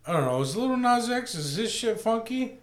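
A man co-hosting a casual comedy chat, raspy from a recent illness, swearing freely and bursting into put-on rants. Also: very high-pitched, very animated, normal speed. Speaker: podcast co-host.

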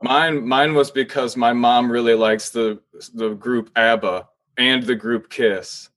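Improv comedy: gentle, sympathetic wizard pupil male performer, plain earnest delivery, middle register. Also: mid-range voice, steady tone, takes it slowly. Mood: puzzled. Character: mild, sympathetic muggle-born wizard student. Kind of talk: improvised comedy sketch acting.

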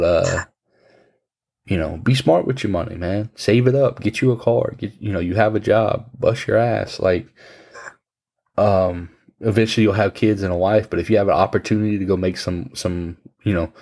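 A man's voice: deep voice, vocal fry, a little mellow. A man in his thirties in casual conversation, giving frank, blunt advice and swearing now and then. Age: 30s. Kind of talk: casual chat.

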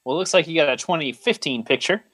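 A man's voice: a little high, lively intonation, quick pace, fairly bright tone, no room sound, studio quality. A man co-hosting a podcast in casual chat, rambling and joking with a mock-serious manner. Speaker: podcast co-host.

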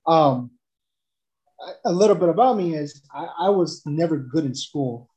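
Mellow-voiced man telling his story. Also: a little high, animated, a little slow. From El Salvador. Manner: rambling, with many fillers and restarts. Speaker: guest giving a testimonial. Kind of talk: casual testimonial chat.

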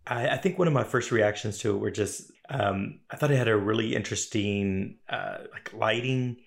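A man's voice: lowish voice, moderate pace, creaky. A man hosting a podcast interview, talking in a friendly, hesitant way with many fillers and restarts. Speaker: podcast host. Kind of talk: casual interview chat.

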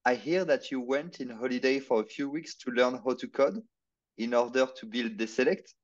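Male guest, hesitant, mid-pitched, muffled lo-fi remote audio. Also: moderate pace. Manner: hesitant, searching for words. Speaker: podcast guest, marketing consultant. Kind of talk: casual interview chat.

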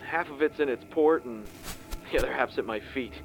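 A man's voice: medium pitch, a little fast, natural voice, low-quality recording. A man playing a prickly, sarcastic tactical officer who argues with a rival in a science fiction audio drama. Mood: wary. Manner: sarcastic, argumentative. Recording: clear vehicle-like background noise.

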